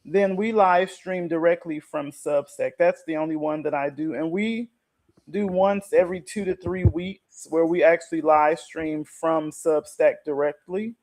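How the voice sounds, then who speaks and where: High-pitched man chatting casually. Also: measured pace, a little mellow. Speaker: guest, podcaster. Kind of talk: casual conversation about online platforms.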